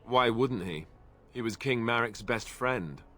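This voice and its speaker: An actor playing an awkward young warrior, a secret royal bastard, with a hesitant, self-deprecating and wry manner in a video game. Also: male voice, mid-range voice, measured pace, fairly bright tone, soft background music, lightly acted. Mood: earnest.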